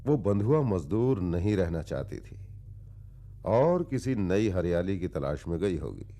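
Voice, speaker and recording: A world-weary philosophical man in a radio play, recounting his past. His voice is low and dark, and he moves slowly and deliberately through formal phrases, pausing long, with very animated pitch swings. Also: full character voice. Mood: brooding, wistful. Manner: brooding, deliberate, with long pauses. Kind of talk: dramatic radio-play storytelling in formal literary diction.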